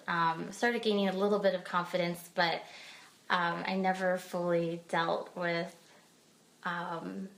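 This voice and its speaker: A woman from Pennsylvania, a podcast guest sharing her personal story in a hesitant way, with frequent pauses and fillers. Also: fairly low pitch. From Pennsylvania, United States. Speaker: guest speaker. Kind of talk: personal testimony in a podcast interview.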